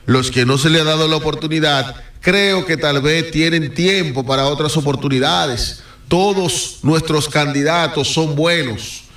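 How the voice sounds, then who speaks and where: A man's voice: a little high, unhurried, fairly bright tone. A man, a radio guest giving his views in an earnest, insistent way. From Dominican Republic. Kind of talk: opinionated radio talk. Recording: roomy studio, mic at a distance.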